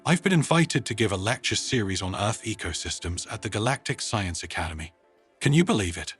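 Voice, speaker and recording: A man voicing an excited, proud female scientist with an eager manner in a sci-fi story. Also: on the low side, fairly quick, slightly rough, soft background music, heavily acted.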